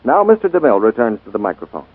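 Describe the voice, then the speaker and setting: A man announcing a scripted 1940s radio advertisement with emphatic, deliberate delivery. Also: medium pitch, big pitch swings, husky, dry recording. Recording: tinny, dry 1940s radio recording.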